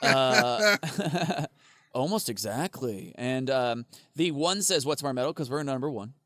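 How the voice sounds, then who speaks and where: A man's voice: mid-range voice, lively intonation, takes it slowly, fairly bright tone, dry recording, clean studio sound. A man co-hosting a comedy podcast with casual banter and crude, irreverent jokes. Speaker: podcast co-host.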